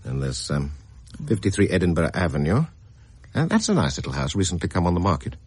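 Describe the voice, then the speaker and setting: A polite but evasive middle-aged estate agent stalls with ums and deferential hedging. His husky voice rises and falls in lively swings. A radio drama performance. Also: low voice, brisk, no room sound, exaggerated voice. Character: fussy, evasive male senior estate agent.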